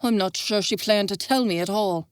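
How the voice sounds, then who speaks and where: A woman voicing a furious young man who was kept in the dark, shouting and close to tears. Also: mid-range voice, moderate pace, clean tone, dry recording, close to an everyday voice. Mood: anguished. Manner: shouting, voice breaking near tears.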